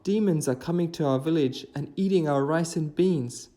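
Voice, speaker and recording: A man's voice: a little high, normal speed, warm tone, pitched above the performer's own voice, natural voice. A man voicing a distressed villager in a folktale, with a plaintive, pleading delivery. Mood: anxious, pleading.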